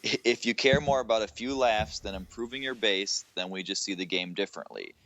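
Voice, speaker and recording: A man's voice: fairly low pitch, expressive, fairly quick, a bit bright, rough recording. A man co-hosting a gaming podcast, talking in a casual, informal and opinionated way. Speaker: podcast co-host.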